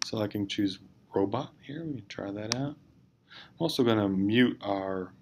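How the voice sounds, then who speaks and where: A man's voice: lowish voice, measured pace. A man narrating a casual instructional screencast, explaining step by step in an informal, hesitant way. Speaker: teacher giving software tutorial. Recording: clear background noise.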